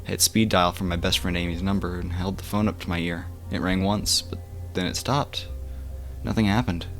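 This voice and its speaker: A man with a fairly low voice narrates a horror story for bedtime listening. He reads in the first person like a home-made audiobook, now and then tripping on a word. Faint music plays behind him. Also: steady tone, normal speed.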